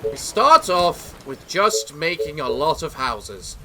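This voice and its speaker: A young English man giving casual let's-play commentary in a theatrical gentleman persona, a little sick, with a voice that sounds off. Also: on the high side, sing-song, slow pace, a little hiss or hum. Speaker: gaming YouTuber.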